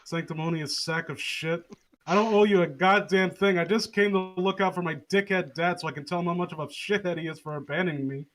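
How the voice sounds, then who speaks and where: Sweary guy rambling on a podcast, kinda high voice, sarcastic. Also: fairly quick, a bit bright. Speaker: podcast co-host. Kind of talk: casual chat with friends.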